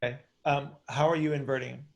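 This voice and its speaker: A man with a creaky voice, casually explaining as a coach hosting a group call, often checking that listeners follow. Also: medium pitch, steady tone, fast talker. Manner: informal, hands-on, checks understanding with short tag questions. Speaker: host and coach.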